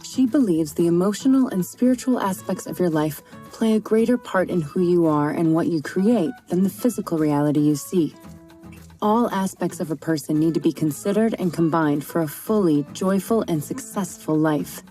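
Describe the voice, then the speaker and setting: Warm-toned woman reading host intro. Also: lowish voice, normal speed, faint music behind. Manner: even, read-aloud delivery. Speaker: podcast host. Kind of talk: scripted introduction.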